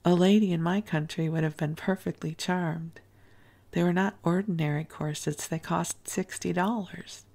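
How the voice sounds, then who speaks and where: A woman's voice: fairly low pitch, steady tone, normal speed, creaky, a little mellow. A woman reading the lines of a perplexed, lovesick young male French diplomat in a bedtime reading, pleading earnestly. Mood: dismayed.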